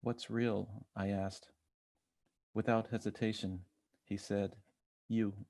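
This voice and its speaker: An American man reading a personal essay aloud in measured literary narration, voicing bits of quoted dialogue as he goes. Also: lowish voice, little pitch movement, gravelly, a little mellow, no room sound. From Chicago, United States. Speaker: guest essayist reading own work.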